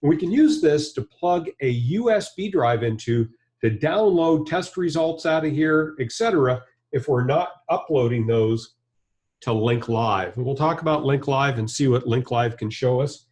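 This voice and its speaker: A man's voice: medium pitch, expressive, moderate pace, a little mellow. An American man in his fifties giving a hands-on product tutorial as a trainer, in a plain-spoken, conversational, explanatory way. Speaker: trainer and presenter. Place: indoor studio or workshop with backdrop and bench.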